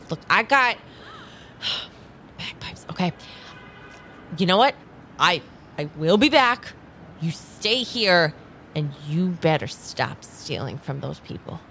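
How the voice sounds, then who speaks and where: A woman playing a determined but flustered time agent, speaking haltingly and earnestly in an improvised audio drama. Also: lowish voice, animated, pushed and bright, a little hiss or hum, exaggerated voice.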